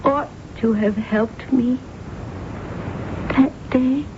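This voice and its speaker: An actress playing a haunted, grief-broken woman, prematurely aged and ruled by her dead father, in intense, halting 1970s radio drama style. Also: sits high, fairly even intonation. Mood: haunted, despairing. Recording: dull, noisy old radio recording.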